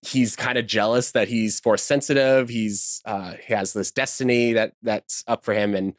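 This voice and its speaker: Lowish, informal man in a dry recording. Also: steady tone, normal speed, no room sound. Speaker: podcast guest, voice actor. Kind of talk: casual interview chat.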